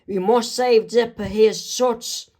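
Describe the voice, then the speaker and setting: A woman's voice: on the high side, level tone, slow, warm tone, voice pushed up. A woman voicing an earnest young girl who worries anxiously about her missing brother, in a storybook reading. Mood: worried.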